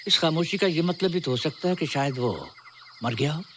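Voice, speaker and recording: A man as an authoritative, lively-pitched official. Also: moderate pace, a little hiss or hum, natural voice. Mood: concerned. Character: cautious, authoritative senior official. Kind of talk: Hindi film drama dialogue.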